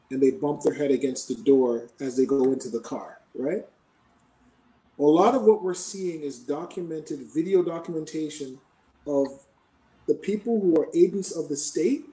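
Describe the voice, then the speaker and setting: A Canadian man answering interview questions in a measured, explanatory way, often checking in with "right?". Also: fairly high pitch, mellow, roomy sound, far from the mic, rough recording. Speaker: podcast guest.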